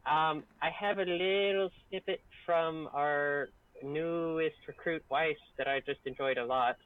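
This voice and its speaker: A man's voice: fairly high pitch, steady tone, measured pace, clear, resonant tone, no room sound, narrow, tinny audio. A man chatting casually and jokily as a co-host on a group livestream. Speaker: audio editor and co-host on a stream.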